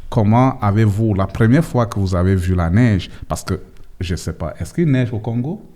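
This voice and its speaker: A man with a deep voice hosts an interview podcast. His tone is dark and mellow, and he puts questions informally, often checking back with a short tag. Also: animated, normal speed. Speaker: host.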